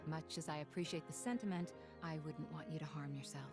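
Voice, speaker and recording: A woman's voice: low voice, steady tone, a little fast, over music. A woman playing a composed, gracious, dignified figure in a video game. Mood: gently amused. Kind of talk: video game cutscene acting.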